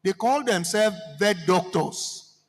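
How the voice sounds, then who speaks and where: A Nigerian man with a Yoruba-speaking accent speaks high and unhurried, throwing rhetorical questions at the crowd. His voice rings through the long reverb of a large hall. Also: background noise. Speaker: seminar participant speaking to the floor. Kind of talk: impassioned address to a seminar audience.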